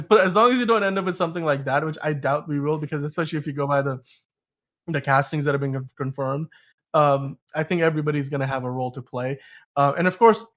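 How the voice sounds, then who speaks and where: A man's voice: a little high, a bit bright. A man hosting a podcast in a casual, rambling, chatty way, talking through entertainment news. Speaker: host of a film news podcast.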